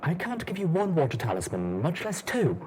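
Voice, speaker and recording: Man doing a defiant, high-pitched female pony knight. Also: wildly swooping pitch, a little fast, slight background noise. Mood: defiant, scornful. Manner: clipped, defiant, forceful.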